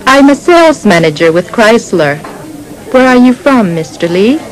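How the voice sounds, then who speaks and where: An actress playing a polite sales manager in a scripted textbook dialogue, speaking clearly. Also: female voice, a little high, sing-song, moderate pace, some chatter behind, poor audio.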